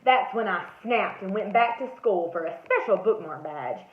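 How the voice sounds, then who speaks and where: A woman's voice: animated, normal speed, slight background noise, close to an everyday voice. A woman voicing a once-terrified, plucky male bookmark in a theatrical, dramatic picture-book read-aloud. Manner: theatrical, rising from hushed suspense to high cries. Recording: roomy echo, slightly dull lo-fi sound.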